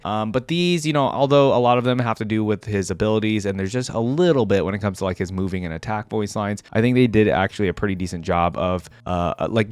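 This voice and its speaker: A man's voice: mid-range voice, clean tone, no room sound. A man hosting a reaction video, talking casually and off the cuff in a rambling, conversational way. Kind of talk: casual unscripted video commentary.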